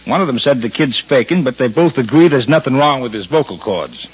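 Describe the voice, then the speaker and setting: A soft-hearted American cop from an old radio mystery. The husky voice swings widely as the lieutenant talks in emphatic, exasperated bursts. The sound is dull and aged. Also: male voice, mid-range voice, lively intonation, a little fast. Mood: urgent, earnest. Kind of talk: 1950s American radio detective drama acting. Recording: muffled vintage radio recording.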